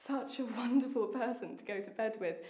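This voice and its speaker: A woman with a British accent acting a lonely, bitter, longing young wife in a stage monologue, intense and deliberate, with dramatic pauses. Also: on the high side, mellow, close to an everyday voice. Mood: wistful. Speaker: acting student. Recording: echoey room.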